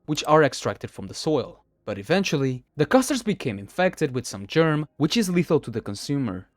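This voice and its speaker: A man delivers scripted story recaps as a video narrator. His voice sits a little high and moves up and down a great deal. The sound is very dry, with no room around it. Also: darkish tone, dry recording. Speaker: narrator of a horror video countdown.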